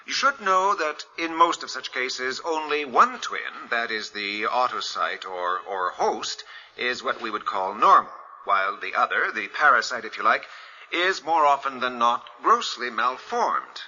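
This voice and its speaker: Animated, declamatory male surgeon. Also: mid-range voice, measured pace, heavily acted. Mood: clinical, instructive. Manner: clinical, declamatory. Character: brisk, grave surgeon lecturing medical students. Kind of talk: radio drama acting. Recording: muffled old radio recording, music behind.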